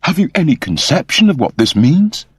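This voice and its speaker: A man's voice: fairly high pitch, very animated, moderate pace, husky, dry recording, studio quality, voice pushed up, heavily acted. A man voicing a fussy, earnest service android with a precise, formal, explanatory manner. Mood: excited.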